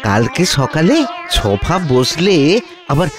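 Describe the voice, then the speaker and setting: A man's voice: medium pitch, sing-song, slow pace, over music. A man voicing a timid, fawning village schemer in a comedy cartoon, stammering and obsequious. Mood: flustered, fawning.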